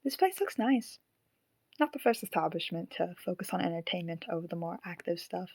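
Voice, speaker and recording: A woman's voice: lowish voice, lively intonation, brisk, gravelly, a little mellow, slightly rough, no echo, full character voice. A woman acting a wry, amused audience member who banters dryly and casually in an audio drama.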